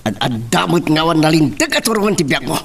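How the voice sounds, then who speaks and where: A man playing a stern Ilocano father in an audio drama. He scolds emphatically in a high male voice that leaps up and down with exasperation. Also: sing-song, a little fast, vocal fry, fairly bright tone, breathy edge, heavily acted. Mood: angry scolding. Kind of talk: Ilocano radio-style drama acting.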